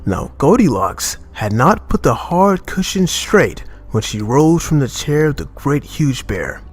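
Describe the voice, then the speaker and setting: A man narrating a children's tale as a storyteller, reading expressively in a measured manner. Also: a little high, big pitch swings.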